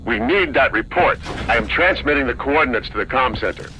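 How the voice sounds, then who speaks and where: A man's voice: mid-range voice, lively intonation, a little fast, sharp tone, slight background noise, poor audio. A man playing a stern base commander in a video game, giving clipped, authoritative orders. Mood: firm. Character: stern male military base commander, a major. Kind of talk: video game cutscene acting.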